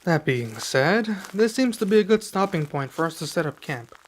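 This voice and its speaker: A man playing a soft-spoken young dragon adventurer. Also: a little high, sing-song. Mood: earnest. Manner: soft-spoken, measured, with pauses. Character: young male dragon adventurer, serious and guarded. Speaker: ASMR roleplay performer. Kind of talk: ASMR roleplay.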